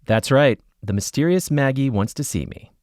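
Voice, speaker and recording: A man's voice: mid-range voice, lively intonation, moderate pace, darkish tone, close to an everyday voice. An actor playing a wry, uneasy investigator who narrates his own case in a dry, storytelling way for a scripted podcast. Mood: sardonic.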